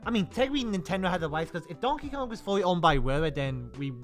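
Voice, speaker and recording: A man co-hosting a casual video game discussion, excitable and jokey, stammering over repeated words. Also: high-pitched, fast talker, faint music behind. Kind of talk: casual chat about games and films.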